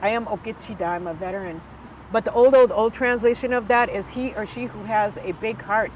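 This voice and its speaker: Woman, 60s, elder, heartfelt storytelling, mid-pitched voice, faint outdoor noise behind. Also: brisk, low-quality recording. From United States. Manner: earnest, heartfelt, with frequent fillers and restarts. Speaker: Ojibwe elder and guest. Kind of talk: conversational storytelling.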